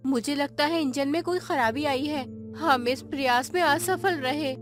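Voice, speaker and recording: A woman's voice: high voice, a little slow, music in the background. A woman playing a bold, determined woman scientist in a dramatized space adventure story. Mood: resolute. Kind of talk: dramatized Hindi audio story dialogue.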